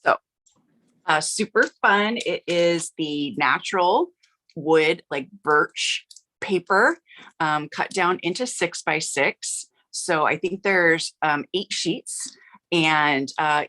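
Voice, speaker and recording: A middle-aged woman hosting a live card-making stream, chatty and upbeat with lots of filler words, her voice a little rough from yelling. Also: deep voice. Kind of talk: casual livestream chat.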